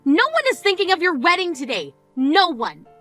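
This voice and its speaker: A woman's voice: a little high, expressive, brisk, a bit bright, no room sound, soft background music. A woman playing Rita, a scolding grieving sister. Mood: furious. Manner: scolding, incredulous, cutting. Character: grieving older sister, exasperated, blunt woman. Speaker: sister at a funeral. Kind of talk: scripted dramatic dialogue.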